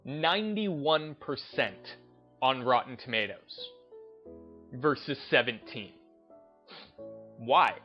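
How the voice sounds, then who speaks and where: A man's voice: medium pitch, lively intonation, slow pace, pauses often, lo-fi sound, soft background music. A man giving casual opinion commentary as a video host, emphatic and repeating phrases for stress.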